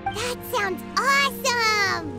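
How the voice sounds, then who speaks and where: Chirpy, childlike game fairy companion; squeaky, sky-high, eager, with loud music underneath. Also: female voice, expressive, takes it slowly, heavily acted. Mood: impressed, curious. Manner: bubbly, talks of herself in third person. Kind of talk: scripted video game acting.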